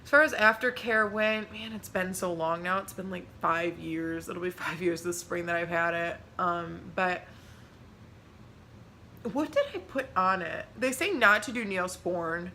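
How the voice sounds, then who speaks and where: Bright-voiced chatty young woman vlogger. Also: measured pace, slight background noise. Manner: chatty, rambling, lots of "like" and "um"; laughs easily, pitch jumps when excited. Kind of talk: casual chat to camera.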